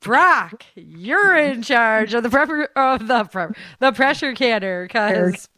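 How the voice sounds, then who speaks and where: A woman host in casual chat, with an animated, self-deprecating, joking way of talking. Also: a little high, takes it slowly, dry recording.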